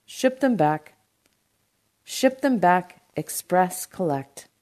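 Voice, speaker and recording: A woman narrator plays a proud, stubborn farmer uncle from a short story. He is an excitable dreamer who speaks with great insistence. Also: lowish voice, slow. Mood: deflated. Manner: shouting indignantly over the phone.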